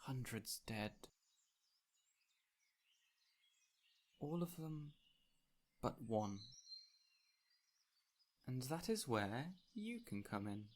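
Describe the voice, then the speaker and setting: A man playing an ancient, whimsical fey spirit in an audio roleplay, speaking in a gentle, courtly, theatrical way with lingering pauses. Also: medium pitch, very animated, a little hiss or hum. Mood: gentle, coaxing. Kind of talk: audio roleplay addressed to the listener.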